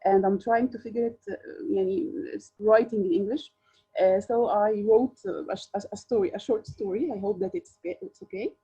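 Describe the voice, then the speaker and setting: A woman's voice: mid-range voice, normal speed, warm tone, husky, soft background music. A woman whose first language is Arabic, joining a workshop by video call and speaking English hesitantly, with many fillers and restarts. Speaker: workshop participant. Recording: dull lo-fi call audio.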